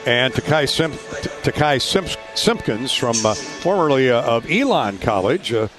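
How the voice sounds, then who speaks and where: A man working as a radio play-by-play announcer, giving sports commentary in a somewhat halting way. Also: mid-range voice, big pitch swings, over music.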